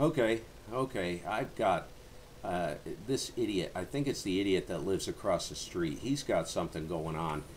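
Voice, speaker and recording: A man's voice: sits low, moderate pace. A man chatting casually over amateur radio as a livestream host, in a folksy, rambling way. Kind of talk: casual ham radio chat. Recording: noisy amateur radio channel, background hiss.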